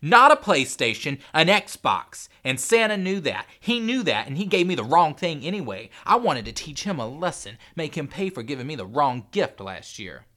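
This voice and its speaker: Man acting out a loud, high, stubborn spoiled boy. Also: sing-song. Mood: furious, defiant. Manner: insistent, drawn-out emphatic shouting. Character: stubborn, spoiled young boy in a vengeful tantrum.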